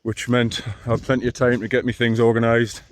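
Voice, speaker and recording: Deep-voiced mumbling man. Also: completely flat delivery, brisk, a little hiss or hum. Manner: dry self-mocking asides, rambling with restarts. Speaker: long-distance hiker vlogger. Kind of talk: casual walking vlog. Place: outdoors on a hill trail.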